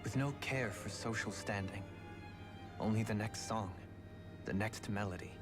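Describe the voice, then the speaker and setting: A refined, melancholy young nobleman, played by a male actor in a game cutscene. His voice is low and he speaks in a formal, measured, solemn way over clear music. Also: close to an everyday voice. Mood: nostalgic. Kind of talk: video game cutscene acting.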